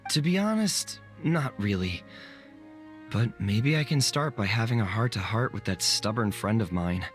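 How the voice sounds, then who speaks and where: A man's voice: lowish voice, expressive, moderate pace, lightly acted. An actor playing an earnest, unsure young man in a video game, respectful and deferential to his monarch. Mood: uncertain, relieved. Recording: music under the voice.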